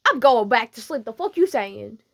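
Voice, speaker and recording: An excitable young sweetheart put on by a woman storyteller in a romantic roleplay. The voice is highish and creaky, stammering and easily flustered, recorded dry and close. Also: moderate pace, dry recording, exaggerated voice. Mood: blunt.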